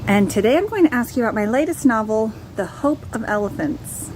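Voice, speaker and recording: A woman's voice: a little high, lively intonation, fairly quick, a little mellow, a little hiss or hum. A children's author, a woman, asks a trivia question in an upbeat, cheerful way. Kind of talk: casual trivia presentation.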